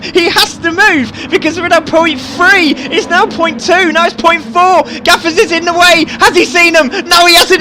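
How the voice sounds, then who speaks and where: A man giving excited live commentary on a sim race, often shouting and laughing. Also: very high voice, noisy background.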